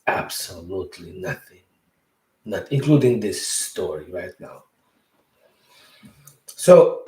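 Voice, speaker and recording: A man from Bosnia, Serbo-Croatian his first language, tells a story slowly at home, rambling and correcting himself. His voice sits on the low side. He is set back from the mic, and the room gives it a roomy echo. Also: darkish tone, recorded from a distance. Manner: rambling, self-correcting, chuckles often. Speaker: podcast host. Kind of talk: casual storytelling.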